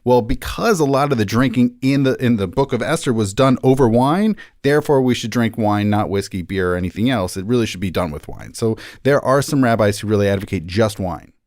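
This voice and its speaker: A man explains things in a casual interview style, informative and lightly humorous. His pitch is mid-range, and the sound is close and dry, with no room echo. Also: expressive, a little fast, hardly any pauses, a little mellow. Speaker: podcast guest, rabbi.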